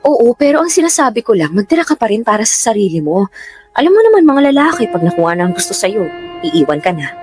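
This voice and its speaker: An actress playing a guarded, sensitive young Filipino woman in a radio drama, emphatic and quick to scold. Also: mid-range voice, big pitch swings, darkish tone, lightly acted. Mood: friendly, cautioning. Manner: emphatic, nagging. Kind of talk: Tagalog radio drama acting. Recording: music bed under dialogue.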